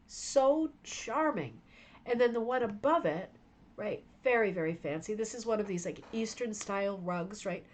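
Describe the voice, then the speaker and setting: A woman hosting a rug hooking club stream, tired and losing her voice, talking enthusiastically and rambling while chatting with viewers by name. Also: mid-range voice. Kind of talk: live stream show-and-tell chat.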